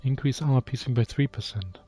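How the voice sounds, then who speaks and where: A man's voice: medium pitch, a little fast, warm tone, slightly rough, soft background music. A man giving casual gameplay commentary, musing aloud, reading menu text and asking himself questions. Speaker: video game player and channel host.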